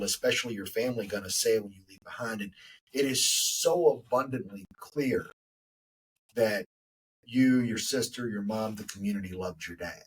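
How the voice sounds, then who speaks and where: A man's voice: fairly low pitch, far from the mic, slight background noise, low-quality recording. A man in his forties hosting a podcast interview, talking in a reflective, rambling way with many fillers. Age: 40s. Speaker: podcast host. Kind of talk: casual interview conversation.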